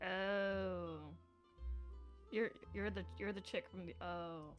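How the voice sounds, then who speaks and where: A woman giving casual, expressive live commentary as a game streamer, often reacting and muttering to herself. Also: medium pitch, slow pace, highs cut off, faint music behind.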